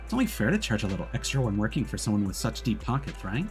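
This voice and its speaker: A man's voice: lowish voice, sing-song, quick pace, soft background music. Man voicing an indignant money-minded lady boss, slightly raised. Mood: defensive. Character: indignant, defensive, money-minded young woman boss in game. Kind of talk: reading game dialogue aloud.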